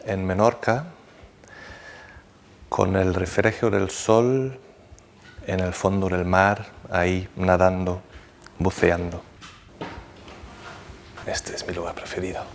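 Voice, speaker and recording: A psychologist in his forties, speaking in a measured way with small hesitations in an interview. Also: low-pitched, steady tone, gravelly, slight background noise. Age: 40s. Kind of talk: documentary interview.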